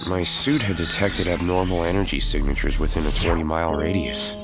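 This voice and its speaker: A man performs a brooding hero telling his own story in first person, as audio drama narration. The voice sits very low, with a clear bed of music under it. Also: fairly even intonation, fairly quick, lightly acted. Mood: grave.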